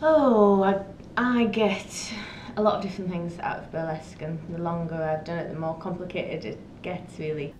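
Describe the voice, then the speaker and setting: A woman gives reflective interview answers in a slightly low voice. The room is echoey, with faint noise behind her. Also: steady tone, moderate pace, darkish tone. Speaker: burlesque performer.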